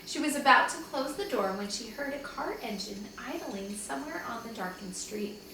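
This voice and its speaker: Lively woman reading aloud, very echoey. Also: fairly high pitch, normal speed. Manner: expressive, acts out dialogue with asides. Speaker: second-grade teacher reading aloud to pupils. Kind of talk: children's chapter book read-aloud. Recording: echoey, distant mic, noticeable background noise.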